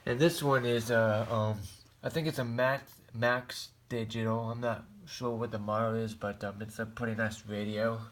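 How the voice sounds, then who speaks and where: An American man giving a casual show-and-tell in a halting, rambling, plain-spoken way. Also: fairly low pitch, fairly even intonation, noisy background. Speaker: hobbyist vlogger showing a radio collection.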